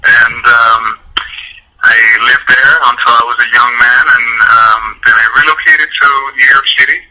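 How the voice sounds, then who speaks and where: A man's voice: medium pitch, little pitch movement, normal speed, noisy background. A man from the Dominican Republic, a guest singer talking on the phone, earnest and polite. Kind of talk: phone interview. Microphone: telephone.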